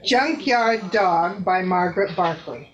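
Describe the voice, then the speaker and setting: A woman reading a literary piece deliberately, pausing between phrases. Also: lowish voice, lo-fi sound, crowd noise. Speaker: literary reader. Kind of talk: staged reading of a personal essay.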